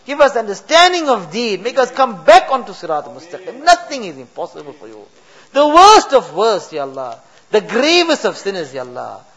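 A man's voice: high-pitched, sing-song, brisk, fairly bright tone, dull audio. Pleading man leading a mosque prayer. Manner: pleading, rising to impassioned cries. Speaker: imam leading a supplication.

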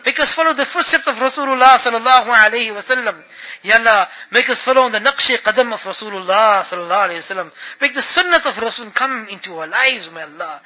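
Tearful high-pitched man pleading in prayer. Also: bright, forceful tone, muffled recording. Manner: pleading, emotional, with drawn-out repeated appeals. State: tearful, voice breaking with crying. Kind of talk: impassioned spoken supplication and religious exhortation.

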